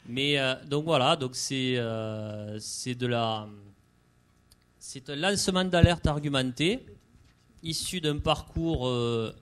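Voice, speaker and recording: A man presents his activist work at a public conference. His voice sits at an average pitch. He speaks without a script, often stopping and restarting on the same word. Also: takes it slowly, clear, resonant tone.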